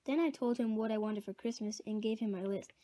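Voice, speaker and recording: A man voicing a confident older boy bear cub in a storybook reading, talking quickly and knowingly. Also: high-pitched, dark tone, pitched above the performer's own voice, lightly acted. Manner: quick, know-it-all.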